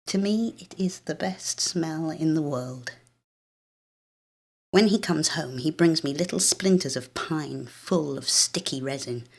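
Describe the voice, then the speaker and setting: Low-voiced British woman reading a story. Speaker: actress reading a short story. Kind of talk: first-person dramatic literary narration.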